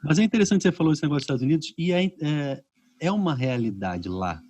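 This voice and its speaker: A man's voice: a little high, quick pace, no echo. A Brazilian man hosting a podcast over a video call, telling stories casually and acting out reactions. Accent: Brazilian Portuguese. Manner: animated storyteller, acts out reactions. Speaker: podcast host.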